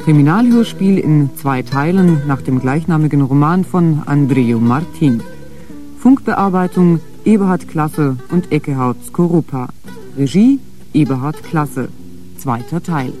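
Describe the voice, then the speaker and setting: A man announces a radio play from a script. His voice is fairly high and soft-toned, with light guitar music behind it. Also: lively intonation, a little slow.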